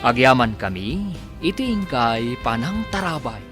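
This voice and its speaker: Slow, swooping male radio drama announcer. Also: medium pitch, very animated. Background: music.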